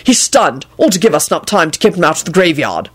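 A woman's voice: low-pitched, very animated, fast talker, slightly rough, full character voice. A woman voicing a cheerful, courteous male wizard with a mild, matter-of-fact manner in a story reading. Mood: cheerful, polite.

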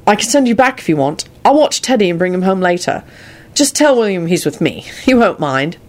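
A woman's voice: fairly low pitch, big pitch swings, a little fast, darkish tone. A woman voicing a young man, a wizard, who speaks mildly but turns snappish. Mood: polite. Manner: mild, then snappish. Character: exasperated young adult male wizard, godfather.

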